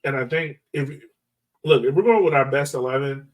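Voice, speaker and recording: A man hosts a casual sports chat in informal, slangy language. His voice has a medium pitch and sits back from the microphone, sounding a little distant. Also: moderate pace. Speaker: podcast host.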